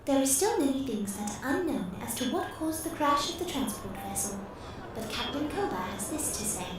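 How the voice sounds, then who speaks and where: An actress playing a polite, formal announcer in a science fiction audio drama, speaking in a scripted style. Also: female voice, sits high, animated, a little fast, over music. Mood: official. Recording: very echoey, distant broadcast sound.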